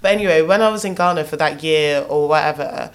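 A woman's voice: sits low, fast, smooth. A young woman from Kent with a southern English accent, chatting casually and candidly as an interview guest, in colloquial speech that often checks the listener is following. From Kent, England. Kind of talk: casual conversational chat.

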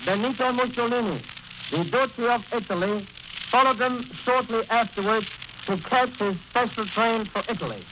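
A man's voice: very high voice, moderate pace. A man giving a formal, declarative 1930s radio news report as a foreign correspondent. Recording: crackly, muffled 1930s shortwave radio recording.

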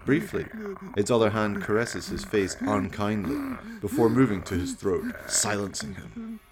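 A man's voice: lowish voice, very animated, a little slow, a crowd in the background. A man giving theatrical, wry scripted narration for a horror radio play. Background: music.

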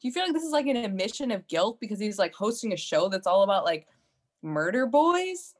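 A woman's voice: medium pitch, big pitch swings, a little fast. A woman playing a nosy, gossipy teenage girl at a sleepover in an improvised comedy sketch.

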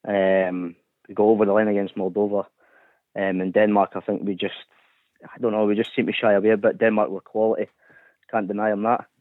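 A man's voice: lowish voice, level tone, a little fast, dry recording. A man calling in to a football radio show, talking hesitantly with many fillers. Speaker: caller. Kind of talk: phone-in chat. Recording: phone line.

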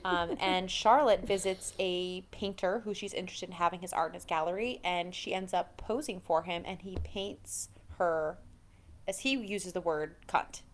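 A woman co-hosting a podcast, casually recapping a TV show in an animated, conversational way. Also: fairly low pitch, moderate pace, slight background noise. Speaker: podcast co-host.

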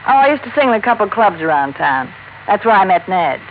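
An American actress playing a worldly, guarded, wry nightclub singer in a 1950s radio detective drama. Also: female voice, medium pitch, very animated, quick pace. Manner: casual, world-weary, guarded. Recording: tinny, noisy old radio recording.

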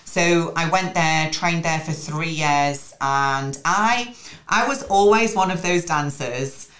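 A woman's voice: deep voice, slow pace, a bit bright, roomy sound. A young woman from Newcastle with a mild northern English accent touched by a hint of American, chatting casually as a podcast guest and often digressing. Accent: mild northern English, Newcastle traces mixed with a hint of American. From Newcastle, England. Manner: chatty and digressive, with many restarts. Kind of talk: casual interview chat.

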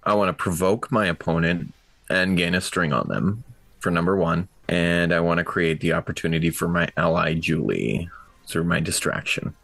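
Low-voiced man playing a tabletop game. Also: normal speed, gravelly, fairly bright tone, dry recording. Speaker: tabletop roleplaying player. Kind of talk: casual in-game action description.

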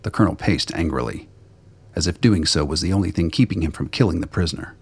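A man with a very deep, gravelly voice reading scripted dramatic narration for a radio play. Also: animated, quick pace.

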